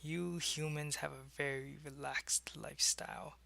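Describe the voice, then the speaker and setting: A man acts out a loyal alien changeling spy in an intimate audio roleplay, with a gravelly edge and a bright, forceful tone. The delivery is conversational and halting, like someone confiding in one listener. The sound is very dry. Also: fairly high pitch, fairly even intonation, no room sound, exaggerated voice. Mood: matter-of-fact. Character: alien changeling spy posing as human, loyal, secretive, soldierly. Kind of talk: ASMR roleplay addressed to the listener.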